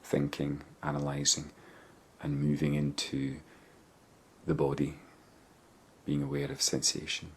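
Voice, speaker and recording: A man leading a guided body scan meditation, giving gentle, measured instructions with pauses. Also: very deep voice, completely flat delivery.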